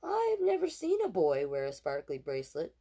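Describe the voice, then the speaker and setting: A woman voicing a kindly, accepting old grandmother in a storybook, gentle and reassuring. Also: deep voice, wildly swooping pitch, normal speed, a little hiss or hum, full character voice.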